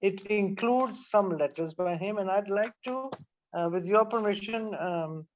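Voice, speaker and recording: A woman's voice: mid-range voice, muffled recording. Older woman telling her story. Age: 70s. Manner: reflective storytelling, many pauses and restarts. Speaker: author. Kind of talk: interview talk about her book.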